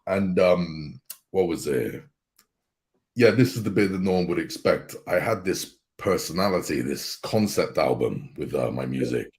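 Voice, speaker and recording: A man with a very deep voice, a guest voice actor telling his story in relaxed casual chat and laughing easily. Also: unhurried.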